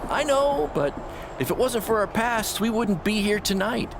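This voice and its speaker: A man's voice: sits high, expressive, moderate pace, noisy background. A man playing an earnest, remorseful middle-aged wrestling mentor in a video game cutscene, speaking with sincere emphasis. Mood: heartfelt, remorseful.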